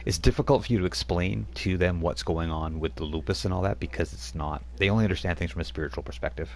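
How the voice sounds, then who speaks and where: A very deep, gravelly man running a tabletop game, who explains rules and narrates scenes. His narration rambles, with restarts and fillers. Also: quick pace, a little mellow. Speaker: game master.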